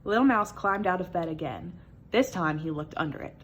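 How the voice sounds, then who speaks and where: A woman reading a children's picture book aloud as an expressive storyteller. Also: on the low side, brisk, a little hiss or hum.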